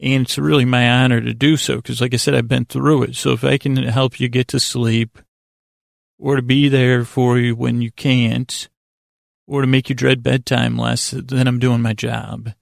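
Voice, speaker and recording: Guy rambling on a sleep podcast, super creaky gravelly voice, kinda halting and self-deprecating, close dry sound. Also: mid-range voice, level tone, no room sound. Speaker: host of a bedtime sleep podcast. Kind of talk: rambling, informal bedtime monologue.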